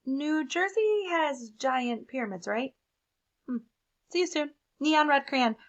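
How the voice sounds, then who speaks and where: A woman's voice: high-pitched, animated, takes it slowly, lo-fi sound. A woman voicing a dramatic, clueless crayon in an exclamatory, emphatic storybook read-aloud. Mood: cheerfully clueless. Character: dramatic, clueless, left-behind neon red crayon. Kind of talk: expressive picture-book read-aloud.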